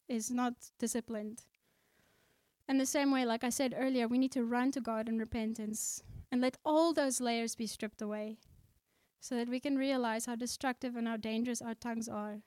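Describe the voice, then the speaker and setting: A woman from South Africa preaching a sermon in the Netherlands. She speaks earnestly and conversationally, slowing down when she reads scripture aloud. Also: a little high, steady tone, a little mellow, clean tone, no room sound. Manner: conversational, with fillers and restarts.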